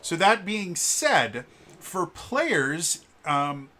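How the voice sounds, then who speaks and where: A man with a deep voice giving a casual solo review of a tabletop game book, often stuttering on the first sound of a word between fillers. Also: big pitch swings, very slow, pushed and bright. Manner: stuttering restarts on words, many fillers.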